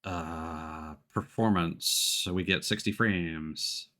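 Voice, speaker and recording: A man's voice: low-pitched, steady tone, slow pace, pushed and bright. A man giving casual gaming commentary, thinking aloud and sometimes reading on-screen text. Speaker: streamer. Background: game music.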